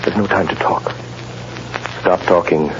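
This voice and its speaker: A man playing a mysterious, commanding vigilante in an old-time radio drama, with deliberate, dramatic delivery. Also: sits low, steady tone. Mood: intense concentration. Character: mysterious commanding male mind-reading vigilante, urgent and intense. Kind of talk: 1930s-40s radio acting. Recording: muffled, noisy old radio broadcast recording.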